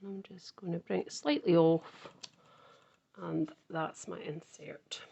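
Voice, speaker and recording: Croaky woman chatting through a craft. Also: fairly low pitch, fairly even intonation, slow pace, mellow, a little hiss or hum. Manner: chatty, thinks aloud while working. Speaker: card-making crafter. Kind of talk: casual craft tutorial.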